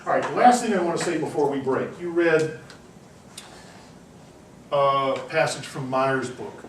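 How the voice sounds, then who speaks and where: Professor type lecturing to a seminar class, mid-pitched, pauses and restarts, recorded from the back of an echoey room. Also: male voice, far from the mic, slight background noise. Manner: discursive, with frequent ums and restarts. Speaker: university lecturer. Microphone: far room recording.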